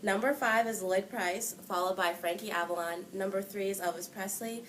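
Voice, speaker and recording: A woman's voice: medium pitch, moderate pace. A woman reading scripted top-five countdowns as the entertainment reporter of an amateur newscast. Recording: faint background noise.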